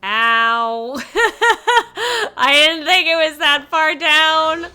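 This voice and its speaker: Casual female streamer, expressive and exclamatory, very bright tone, game music underneath. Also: high-pitched, drawn-out words. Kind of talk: casual reactive game commentary.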